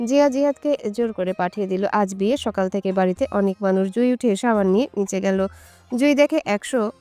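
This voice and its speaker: A woman's voice: medium pitch, brisk. Woman narrating a romantic story. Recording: soft music behind the voice.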